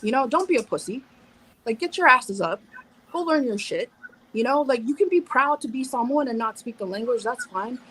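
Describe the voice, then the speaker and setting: A woman's voice: fairly high pitch, lively intonation, moderate pace, a bit bright, slightly rough, low-quality recording. A woman in her thirties, a guest on a live panel, talking bluntly, cursing, restarting and over-explaining. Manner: blunt, profane, self-interrupting, over-explains. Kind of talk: casual live panel discussion.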